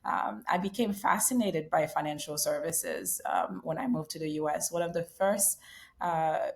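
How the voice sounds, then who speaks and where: Guest founder, woman from Haiti, middle-aged; lowish voice, thoughtful, hesitant delivery. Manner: reflective, many ums and restarts. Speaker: startup founder and CEO, guest. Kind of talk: casual interview conversation.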